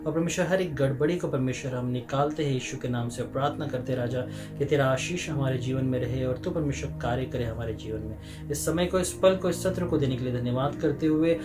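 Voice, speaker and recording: A man with an Indian accent preaching and praying as a pastor, often halting as he explains and mixing in English words. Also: on the high side. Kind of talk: sermon with prayer to an online congregation.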